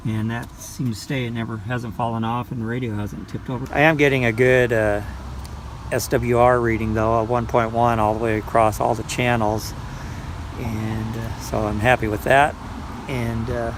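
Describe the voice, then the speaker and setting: An older man giving a casual, unscripted how-to walkthrough, unhurried and a bit hesitant as he explains. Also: medium pitch. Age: older, a grandfather. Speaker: vlog host showing a DIY install. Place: outdoors in a parking lot, around a truck. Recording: clear car and ambient noise.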